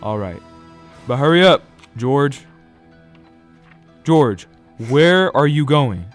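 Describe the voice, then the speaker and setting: Man playing a brisk, businesslike lawyer, music behind. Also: mid-range voice, expressive. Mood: impatient. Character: impatient, businesslike lawyer father from New York. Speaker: amateur radio drama actor. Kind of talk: radio drama acting.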